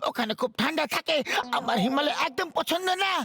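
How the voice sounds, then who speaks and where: A man's voice: extremely high pitch, animated, fairly quick, gravelly, exaggerated voice. A man voicing a skeptical, sensible cartoon sidekick who nags and cautions. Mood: scolding, complaining. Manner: nagging, cautioning. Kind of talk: cartoon dub acting.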